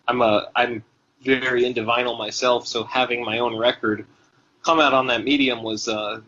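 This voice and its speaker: A man's voice: medium pitch, flat delivery, moderate pace, sharp tone, slight background noise. A man from Tennessee, a guitarist guest, answering interview questions in a rambling, hesitant way with many ums and restarts. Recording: dull, lo-fi sound.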